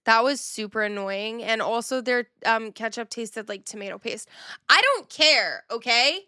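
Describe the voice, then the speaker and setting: A woman co-hosting a casual podcast, telling stories loudly and with lots of energy. Her voice is bright and forward. The recording is close and dry, with no room sound. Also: on the high side, unhurried, no echo. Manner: loud, animated storyteller who laughs easily. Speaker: podcast co-host. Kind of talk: casual chat.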